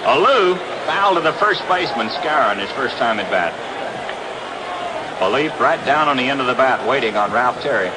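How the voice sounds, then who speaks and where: A man's voice: medium pitch, animated, moderate pace. An American man calling live baseball play-by-play in short clipped phrases on 1960s radio. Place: outdoor ballpark broadcast. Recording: very noisy 1960s radio broadcast recording.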